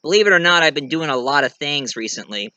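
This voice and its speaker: Bright, higher-voiced American man, halting. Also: lively intonation, no room sound. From United States. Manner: halting, rambling, self-correcting. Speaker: amateur reviewer and story writer presenting own work. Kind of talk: casual show-and-tell monologue to camera.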